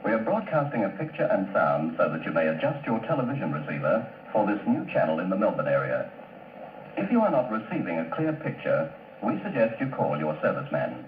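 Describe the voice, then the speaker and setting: A man's voice: low voice, very animated, brisk. A man reading a formal, instructive test announcement as a television station announcer, on an old archive broadcast recording. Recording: echoey, tinny, slightly noisy archive broadcast.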